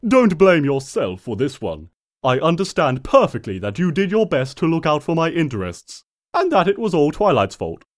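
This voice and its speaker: A man's voice: fairly high pitch, big pitch swings, normal speed. A man voicing a mischievous, theatrical male chaos spirit in a comedic storybook reading, with a sarcastic, flamboyant delivery. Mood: mock-gracious. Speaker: fan fiction reader.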